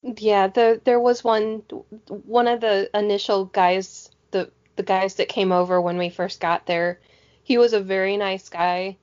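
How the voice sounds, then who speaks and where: A woman's voice: medium pitch, fairly even intonation, a little slow, darkish tone. A woman co-hosting a podcast in casual chat, rephrasing as she goes. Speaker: podcast co-host. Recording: dull lo-fi podcast audio.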